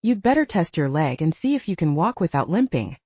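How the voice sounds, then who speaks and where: Woman acting a frank, teasing heroine, animated. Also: fairly low pitch, a little fast, breathy edge, dry recording, muffled recording. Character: candid, teasing young woman, romance heroine.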